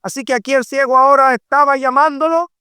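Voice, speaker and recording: Middle aged dominican preacher, really high voice, fiery sermon, repeats and stretches phrases, dry sound. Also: steady tone, pushed and bright, dry recording. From Dominican Republic. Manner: emphatic, rhetorical repetition with drawn-out phrases. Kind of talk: fervent evangelistic sermon.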